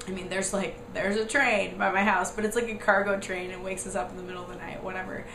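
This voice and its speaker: Young American woman vlogger, hoarse and croaky from a cold, rambling and casual; echoey room, noisy backdrop. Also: level tone, fast. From United States. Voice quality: raspy, squeaky and croaky. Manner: rambling, lots of "like" and "um". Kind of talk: casual chatty explanation.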